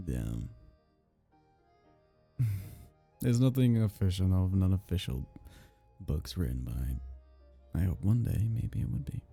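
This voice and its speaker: A man acting a geeky, awkward male kitsune on a blind date in an ASMR roleplay, halting, stammering and apologetic. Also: deep voice, gravelly, warm tone, slightly rough, heavily acted. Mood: shy, curious.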